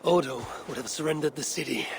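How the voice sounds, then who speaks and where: An actor playing a stoic, resolute Viking warrior in a video game, with a gravelly voice and a grave, terse manner. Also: male voice, fairly high pitch, quick pace, a little hiss or hum. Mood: guarded. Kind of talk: dramatic cinematic game acting.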